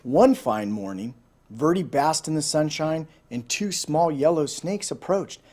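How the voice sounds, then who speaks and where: Higher-voiced man reading a storybook aloud. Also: lively intonation, unhurried, a little mellow. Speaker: storybook reader. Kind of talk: expressive children's read-aloud storytelling with asides.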